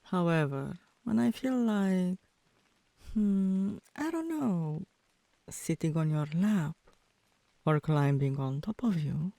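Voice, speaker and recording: Teasing, dominant tomboy girlfriend, played by a woman, for ASMR roleplay. Soft dark tone, close and dry, commanding but affectionate. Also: fairly low pitch, animated, creaky, no room sound, full character voice. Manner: commanding yet coaxing, deliberate, drops into whispers.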